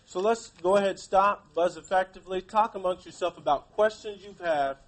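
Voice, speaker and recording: A male teacher with a fairly high voice leads an interactive read-aloud lesson. He keeps prompting his pupils with questions and gives them instructions as he goes. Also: normal speed, slight background noise.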